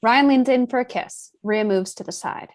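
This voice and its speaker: A woman's voice: on the high side, normal speed, a little mellow. A woman reading out scene directions as scripted narration at a screenplay table read. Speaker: reader of stage directions.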